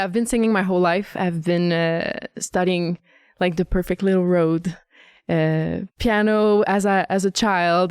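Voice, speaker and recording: A woman's voice: medium pitch, a little mellow, clean tone, no room sound, clean studio sound. A young adult woman from Montreal with a French Canadian accent, a singer and vocal coach chatting as a podcast guest, laughing often and restarting her sentences. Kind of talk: casual podcast interview.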